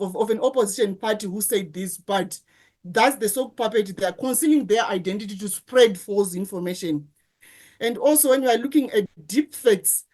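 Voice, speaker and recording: A middle-aged woman from Zimbabwe with a Zimbabwean accent, giving a lecture-style presentation, pausing to ask rhetorical questions and restarting her phrases. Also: on the high side, a little mellow. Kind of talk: conference presentation.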